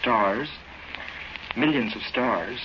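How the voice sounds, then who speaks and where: A man's voice: medium pitch, extremely slow. Aarzelende, bange man, ruisende radio-opname. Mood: stunned, fearful. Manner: hesitant, stammering. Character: stunned, frightened man witnessing proof of alien invasion. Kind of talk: old-time radio horror acting. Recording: noisy, muffled old radio broadcast.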